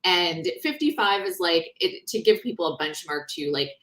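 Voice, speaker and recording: A woman author talking in a conversational interview, explaining things in an animated, informal way. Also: fairly low pitch, normal speed. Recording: roomy, echoing sound.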